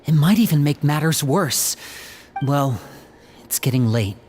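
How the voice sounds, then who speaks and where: An actor playing a composed young older brother and cautious leader in a video game, speaking in a measured, earnest way. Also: mid-range voice, animated, a little mellow, faint music behind, close to an everyday voice. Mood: calm, directing. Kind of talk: video game character dialogue.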